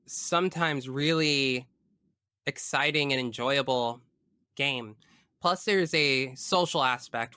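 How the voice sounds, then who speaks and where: A man's voice: medium pitch, pushed and bright, clear, resonant tone, no echo, studio quality. A man in a casual podcast discussion as the guest, explaining his views earnestly and analytically at length.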